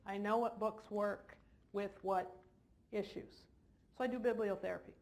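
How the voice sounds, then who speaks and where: Woman therapist doing a casual talk in a big lecture room, medium pitch, kinda jokey about herself. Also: steady tone, normal speed, a little mellow. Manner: jokey, self-deprecating asides. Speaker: clinician giving a talk. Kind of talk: informal lecture to a live audience. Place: large lecture hall.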